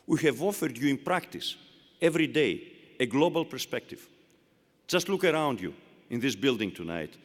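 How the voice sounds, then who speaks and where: A man with a Greek accent delivers a formal commencement speech at a podium microphone, stressing key phrases and building his points with rhetorical repetition. His mid-pitched voice carries a long, booming reverb from the large indoor hall. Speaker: chancellor emeritus giving commencement address.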